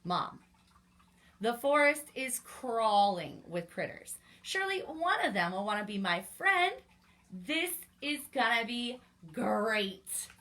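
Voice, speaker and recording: A woman voicing an excited, eager young girl bear in a storybook, exclaiming with exuberance. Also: a little high, takes it slowly, full character voice.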